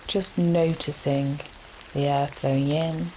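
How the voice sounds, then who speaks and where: Low, very slow woman guiding meditation. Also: slight background noise, rough recording. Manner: gentle, soothing, unhurried with long pauses. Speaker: yoga nidra meditation guide. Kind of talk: guided relaxation meditation. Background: steady rain sounds.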